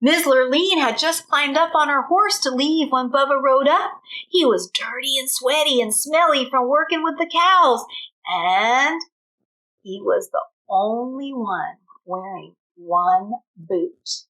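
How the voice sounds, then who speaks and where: A woman reading a children's storybook aloud in an expressive manner, as a teacher does for young children. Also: sits high, slow pace, poor audio.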